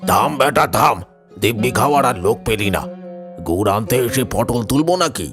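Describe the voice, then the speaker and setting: A man playing a gruff, suspicious older village uncle, brusque and colloquial, in an animated ghost story. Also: medium pitch, normal speed, over music, lightly acted.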